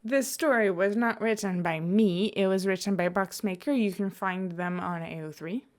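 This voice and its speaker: A woman's voice: mid-range voice, moderate pace. A young woman chatting casually to listeners as a host, hesitant, often trailing off and correcting herself. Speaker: podfic reader and host. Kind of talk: casual rambling chat to listeners.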